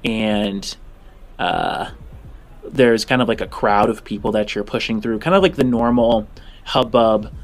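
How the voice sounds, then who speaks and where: A man improvising narration as game master of a tabletop game, in a halting, informal, descriptive way. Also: fairly low pitch.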